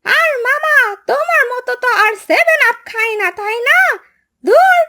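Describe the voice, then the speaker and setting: A woman with a Bangladeshi accent voicing a quick-tempered, stubborn young boy in a cartoon. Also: very high voice, animated, bright, forceful tone, full character voice. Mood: annoyed. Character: hot-headed young boy football fan. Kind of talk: cartoon voice acting.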